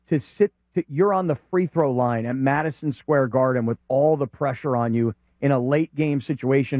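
A man's voice: fairly high pitch, darkish tone, no echo. A man in his fifties co-hosting a casual sports talk show, talkative and repeating words as he builds his point. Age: 50s.

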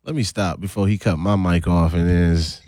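A young man co-hosting a radio show, joking around in slangy, animated banter. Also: low voice, dry recording, clean studio sound. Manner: animated, laughing, slangy, quick to imitate others. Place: radio studio.

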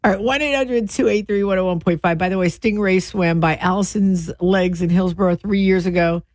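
A hoarse woman co-hosting a radio show, trading wry banter and reading out listener messages from the studio. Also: mid-range voice, fairly quick, a little mellow, no room sound. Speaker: radio co-host. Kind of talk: casual morning radio banter. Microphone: studio microphone.

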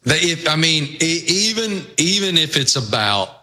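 Male host, Southwest Virginia accent, bright cutting tone, chatty storyteller. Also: a little high, measured pace. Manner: storytelling, folksy and animated. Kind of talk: casual sports talk.

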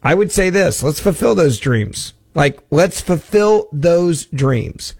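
A man's voice: a little high, expressive, slow, vocal fry, a little mellow, breathy edge, dry recording, studio quality. A man hosting a talk radio show, talking in a sarcastic, conversational way. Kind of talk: opinionated talk radio monologue. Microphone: studio mic.

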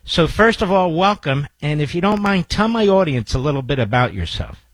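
An older man hosting a talk radio show and interviewing a guest with an emphatic, animated delivery. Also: fairly high pitch, normal speed, no echo.

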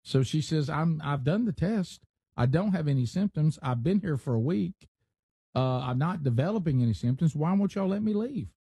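A male talk radio host reads a news story aloud and stops often to comment on it. His voice is warm and mellow. The sound is dry and close. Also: a little high, expressive, normal speed, husky, no echo. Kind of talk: casual talk radio banter.

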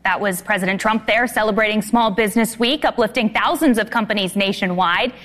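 A woman's voice: mid-range voice, fairly quick, a bit bright. A woman anchoring a morning news show, friendly and upbeat as she interviews a guest.

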